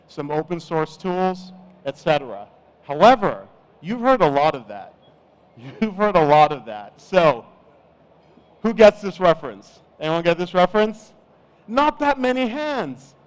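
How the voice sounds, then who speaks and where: Relaxed Nigerian-born man, smooth higher voice. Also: a little slow, poor audio. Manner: relaxed, chatty, self-deprecating. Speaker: conference speaker. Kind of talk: conference talk. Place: conference stage.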